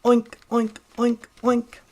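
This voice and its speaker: A woman's voice: a little high, completely flat delivery, slow pace, a little mellow, pitched above the performer's own voice. A woman voicing an eager, polite young pig in a children's puppet show, chirpy and full of oinks. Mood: eager, cheerful.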